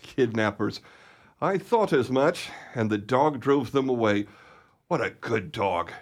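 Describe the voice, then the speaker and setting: A man's voice: mid-range voice, animated, takes it slowly. Man playing an emphatic, protective father. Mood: relieved, grateful. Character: well-off father and boss, gruffly protective. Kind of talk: radio play acting.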